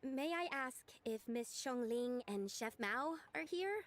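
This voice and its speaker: Meek, high-voiced young lady. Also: lively intonation, unhurried, background noise. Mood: remorseful. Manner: courteous, earnest, meek. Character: polite, timid, apologetic young lady. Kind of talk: animated video game dialogue.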